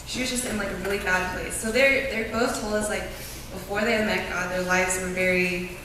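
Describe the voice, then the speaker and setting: A woman's voice: medium pitch, brisk. Chatty young woman in an echoey hall. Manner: chatty, animated storytelling with many fillers. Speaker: youth group member sharing a mission trip. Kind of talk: informal testimony to a church group. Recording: echoey room, distant mic, clear background noise.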